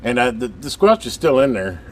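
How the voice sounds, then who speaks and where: A man's voice: mid-range voice, normal speed. An American man chatting casually and hands-on as a radio hobbyist, in a folksy, rambling way as he thinks aloud. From United States. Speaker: radio hobbyist presenting on his channel. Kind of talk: casual hands-on video chat. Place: workbench with radio gear. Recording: steady background noise.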